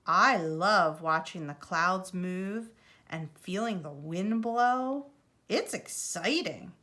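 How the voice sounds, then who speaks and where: Lady reading as a happy fox kid in a storybook, sure of himself, bright and lively. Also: on the low side, slow, full character voice. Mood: cheerful, excited. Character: carefree, thrill-loving young fox boy.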